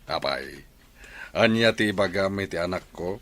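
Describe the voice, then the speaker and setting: A man's voice: sits low, expressive, normal speed, fairly bright tone. An actor playing a scornful, domineering middle-aged rich man in a radio drama, talking with sneering contempt. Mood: contemptuous. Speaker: wealthy father figure in a radio drama. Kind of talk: Ilocano radio drama acting.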